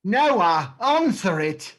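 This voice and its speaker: Theatrical thieves' gang boss, stage play reading, oily endearments, very lively pitch, unhurried delivery. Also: heavily acted. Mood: coaxing.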